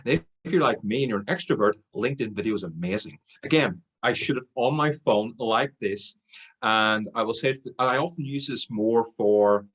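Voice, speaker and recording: A man's voice: mid-range voice, husky, rough recording. A man with an Irish accent, talking energetically as a panelist in a sales webinar. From Ireland. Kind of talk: webinar talk.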